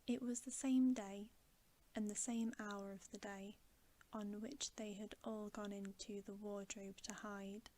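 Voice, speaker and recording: A woman reading a bedtime story in ASMR style, soft, hushed and often whispery, in a soothing, measured manner. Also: mid-range voice, flat delivery, slight background noise. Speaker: ASMR storyteller, channel host.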